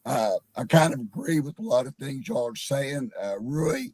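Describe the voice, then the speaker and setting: A hoarse man with a cold calling into a live sports chat, rambling and hesitant with many fillers. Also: medium pitch, big pitch swings, normal speed, darkish tone, no echo. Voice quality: gravelly, husky. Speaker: caller on a live panel stream. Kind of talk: casual call-in chat.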